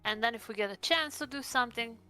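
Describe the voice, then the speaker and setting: A woman talks casually as a player in a tabletop game. Her voice is rather high, bright and clean, with a conversational, hesitant flow over faint background music. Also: fast talker.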